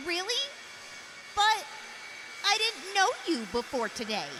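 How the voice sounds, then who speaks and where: A woman's voice: very high voice, very animated, slow, exaggerated voice. A woman playing a sweet, hesitant young woman in an audio drama, with an emotional delivery. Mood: surprised, grateful. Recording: noisy background mix.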